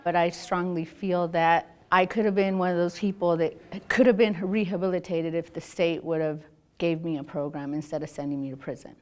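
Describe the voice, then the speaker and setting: A middle-aged woman with an American accent speaks candidly in an interview. Her voice is fairly low for a woman, and she talks informally, pausing and restarting as she goes. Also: steady tone, darkish tone. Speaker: news interviewee.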